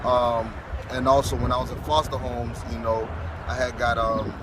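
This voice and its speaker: A man in his thirties talks haltingly outdoors, filling his answers with soft fillers and restarts at a middling pitch. Loud city traffic roars close behind him the whole time. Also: level tone. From Florida. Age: 30s. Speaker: interviewee. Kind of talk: street interview answers.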